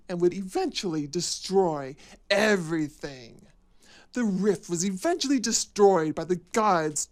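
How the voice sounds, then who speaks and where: A man playing a gloating villain who schemes to rule the world, in a theatrical, drawn-out delivery for a game cutscene. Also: high voice. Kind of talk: fan game cutscene voice acting.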